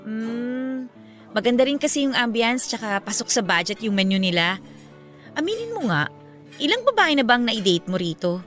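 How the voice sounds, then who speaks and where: A woman's voice: on the high side, very animated, normal speed, a bit bright, faint music behind. An actress playing a playful, affectionate young woman in a Filipino radio romance drama, with a teasing, conversational way of talking. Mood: teasing, curious.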